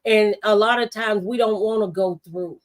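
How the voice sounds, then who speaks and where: A woman's voice: medium pitch, fairly even intonation, normal speed. A woman hosting a faith-based motivational conversation, testifying with rhetorical questions and repeated phrases. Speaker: talk show host and mentor.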